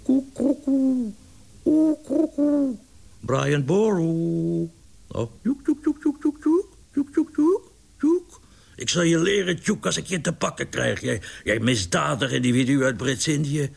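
A man's voice: fairly low pitch, lively intonation, moderate pace. A man voicing a big, boisterous, doting pigeon owner in a storybook, exclaiming and coaxing with plaintive indignation. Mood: coaxing, cooing.

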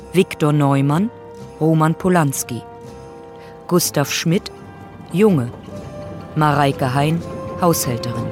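Slow-paced, higher-voiced male announcer. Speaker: radio play announcer and narrator. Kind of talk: scripted announcement, credits reading. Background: music.

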